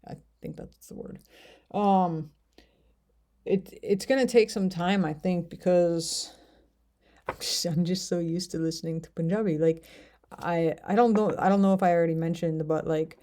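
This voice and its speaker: A woman's voice: on the low side, fairly even intonation, normal speed, mellow. An American woman vlogging casually, talking in a rambling, hesitant way with many fillers. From United States. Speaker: language learner vlogger. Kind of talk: casual talk to camera.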